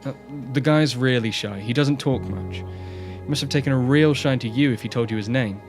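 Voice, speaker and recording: Actor as a kindly shelter manager over music. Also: male voice, mid-range voice, normal speed, natural voice. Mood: thoughtful, sympathetic. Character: calm, kindly man running a homeless shelter. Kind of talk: radio drama dialogue. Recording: music behind the voice.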